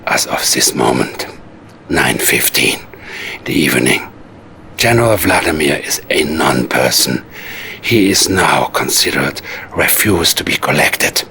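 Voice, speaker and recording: An actor playing a coldly calm, scheming Soviet psychic advisor in a video game cutscene, with a Russian accent and measured, condescending menace. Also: male voice, a bass voice, slight background noise, exaggerated voice. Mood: solemn, cold.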